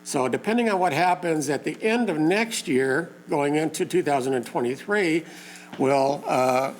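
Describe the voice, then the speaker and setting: A hoarse man who is losing his voice speaks at a community meeting, pausing often with fillers in plain, folksy wording. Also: on the high side, slight background noise. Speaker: community meeting organizer and lake info page host. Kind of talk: informal public talk to a local meeting.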